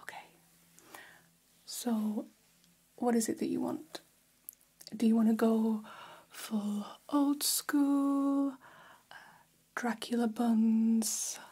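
A woman plays a friendly female vampire hairdresser in an ASMR salon roleplay. The voice is a very breathy, soft whisper with a mellow tone. It moves slowly and attentively, like intimate salon gossip. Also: fairly high pitch, flat delivery, heavily acted. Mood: attentive, soothing. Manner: soft, unhurried, chatty, attentive. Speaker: ASMR performer. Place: small decorated indoor room. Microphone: close microphone.

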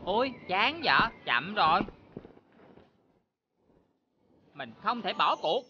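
Animated young cartoon boy. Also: mid-range voice, moderate pace, lo-fi sound, a little hiss or hum. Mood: determined. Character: determined, polite young boy cyclist. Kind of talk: children's cartoon dubbing.

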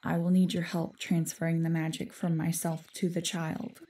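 A woman playing a solemn, guarded forest guardian spirit in a fantasy audio drama, speaking formally and in measured, breathy half-whispers. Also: deep voice, flat delivery, mellow, distant mic, natural voice.

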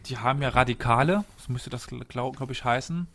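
A man's voice: medium pitch, a little fast, fairly bright tone, a little hiss or hum. Young man explaining history, thinking aloud. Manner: explains step by step, thinking aloud with frequent self-corrections. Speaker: guest presenter on a history podcast. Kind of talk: casual explanatory podcast talk.

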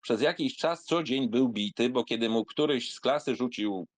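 A man giving a theatrical reading of a literary memoir, in an expressive, wry, conversational storytelling manner. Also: mid-range voice, moderate pace, no room sound. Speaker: narrator reading a literary essay aloud.

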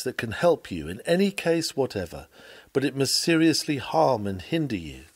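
A man reading a written sermon aloud with earnest, emphatic, measured oratory. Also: medium pitch, a little mellow. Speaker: sermon reader.

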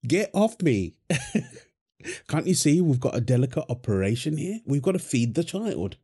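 A man's voice: on the high side, huge pitch swings, measured pace, warm tone, husky, full character voice. A man playing a young father feeding his baby daughter, playful and affectionate, laughing often. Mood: playful teasing. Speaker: voice actor in audio roleplay. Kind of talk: wholesome audio roleplay.

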